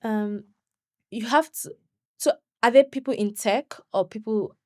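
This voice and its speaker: A young woman, a guest on an interview show, chatting in an animated way and laughing often. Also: a little high, dry recording, clean studio sound. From Nigeria. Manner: animated, laughs often, quick bursts when excited. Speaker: podcast guest. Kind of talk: conversational interview.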